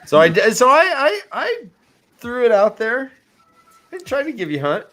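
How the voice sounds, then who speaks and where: A man's voice: very high-pitched, huge pitch swings, normal speed, pushed and bright, slight background noise. A man talking casually on a sports panel show, informal and self-deprecating. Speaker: fantasy football show panelist.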